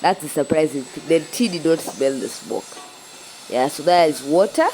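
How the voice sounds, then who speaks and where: A young Kenyan woman with a Kenyan accent narrating her outdoor cooking vlog in a relaxed, chatty way, a little stuffy from a cold. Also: deep voice, normal speed, a little hiss or hum, low-quality recording. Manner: relaxed and chatty, with frequent "yeah" and restarts. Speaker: cooking vlogger. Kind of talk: casual voice-over narration of a cooking video.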